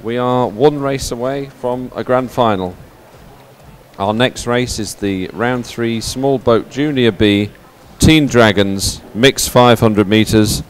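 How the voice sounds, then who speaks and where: A man giving chatty, encouraging live commentary as a sports commentator at a boat race. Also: medium pitch. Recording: outdoor background noise.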